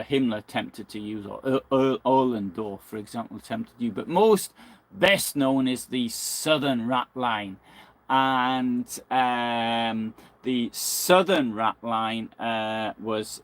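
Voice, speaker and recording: A man giving unscripted live historical analysis as a presenter, reasoning points aloud in a halting, self-correcting, argumentative way. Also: mid-range voice. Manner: halting, searching delivery with frequent self-corrections.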